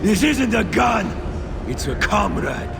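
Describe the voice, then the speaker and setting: An intense game soldier with a very high, gravelly voice that swings around. Loud battle noise surrounds him. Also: male voice, big pitch swings, slow pace, heavily acted. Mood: reverent. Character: intense male soldier devoted to his rifle. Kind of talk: video game dialogue.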